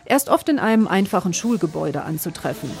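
A woman reporter reading scripted documentary narration in a measured, even way. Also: lowish voice, creaky, loud music behind the voice.

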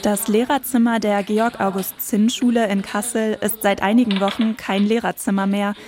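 A woman radio reporter narrating a scripted news feature in an even voice-over. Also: medium pitch, moderate pace, background noise.

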